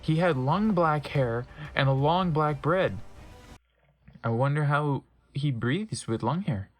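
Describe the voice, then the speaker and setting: A man's voice: on the high side, slow pace, soft background music. A man narrating fan fiction as a dramatic comedic reading, breaking off for wry offhand asides and stumbling over odd wording. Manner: wry, stumbles over misspelled words and comments on them.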